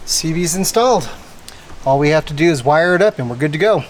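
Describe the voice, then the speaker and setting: High-voiced man giving a how-to. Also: lively intonation, brisk, loud music behind the voice. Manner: methodical, explanatory. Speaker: product maker presenting installation tutorial. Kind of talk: step-by-step hands-on how-to demonstration.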